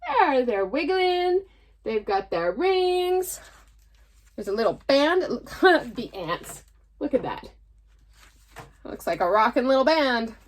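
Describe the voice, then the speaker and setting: A woman's voice: high voice, very animated, slow pace, long pauses. A woman presenting a picture book read-aloud for children, with affectionate, chatty asides. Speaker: storytime presenter.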